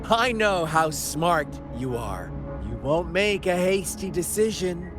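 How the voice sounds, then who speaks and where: Theatrical, wildly swooping villain. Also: male voice, on the high side, expressive, slow pace. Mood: sly, taunting. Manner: theatrical, mocking, sweeping delivery. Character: theatrical unhinged male villain, taunting and sly. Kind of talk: video game voice acting. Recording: loud music behind the voice.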